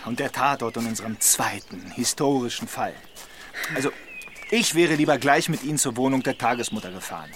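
Actor as a mocking male inspector, medium pitch, outdoor ambience. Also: animated, a little fast, a bit bright, a little hiss or hum, close to an everyday voice. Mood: wry, impatient. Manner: mocking, lecturing. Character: sardonic male detective inspector, teasing and know-it-all. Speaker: police detective. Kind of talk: radio crime drama acting.

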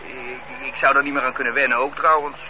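A man's voice: mid-range voice, level tone, brisk, lightly acted. An actor playing a worn-out 57-year-old barber who grumbles that his trade is dying, in a radio drama. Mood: despondent. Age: 50s. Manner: complaining, sighing chatter. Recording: dull old radio play recording with hiss.

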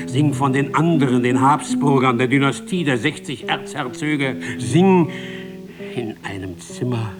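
An actor playing a solemn, impassioned storyteller in a radio play, declamatory and deliberate, repeating phrases for emphasis. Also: male voice, medium pitch, natural voice. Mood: incantatory, scornful. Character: solemn, impassioned male storyteller, a bard of fate. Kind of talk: dramatic scripted narration in a radio play.

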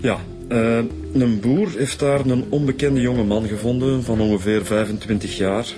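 An actor with a Flemish accent playing a matter-of-fact homicide detective in a naturalistic radio drama, reporting with small hesitations. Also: male voice, mid-range voice, steady tone, moderate pace, lightly acted. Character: male homicide detective, matter-of-fact, briefing his colleagues. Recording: music behind the voice.

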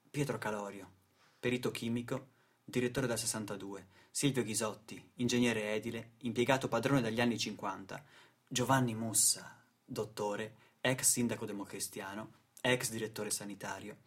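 A man's voice: mid-range voice, a bit bright. A man delivering dramatic scripted narration for radio theatre in a solemn, theatrical manner, with short weighted phrases. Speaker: narrator and stage actor.

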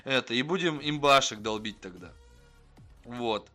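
A man's voice: medium pitch, animated, measured pace, pushed and bright, faint music behind. A man hosting a prank-call livestream in casual, slangy, foul-mouthed chat. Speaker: livestream host making prank calls.

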